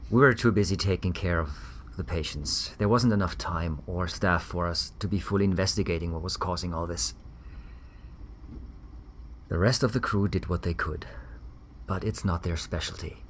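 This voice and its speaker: An actor playing a weary, defensive ex-army doctor in a grave, measured audio drama interview. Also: male voice, low voice, slight background noise, lightly acted. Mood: somber.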